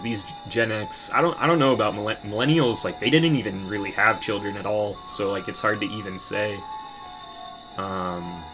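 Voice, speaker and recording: A man ranting in a casual monologue, bitter and profane, rambling with many restarts. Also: lowish voice. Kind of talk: casual rant to camera.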